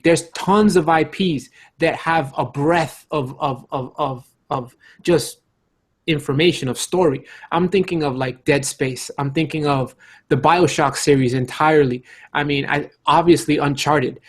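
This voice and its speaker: A man's voice: fairly high pitch, moderate pace. A man in a casual panel debate, arguing insistently and repeating his phrases as he makes his points. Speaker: podcast panelist.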